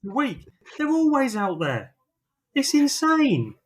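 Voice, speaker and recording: A man's voice: very high-pitched, huge pitch swings, a little slow. A man with a British accent, co-hosting a podcast in casual chat, with dry, sarcastic and sometimes sweary banter. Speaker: podcast co-host.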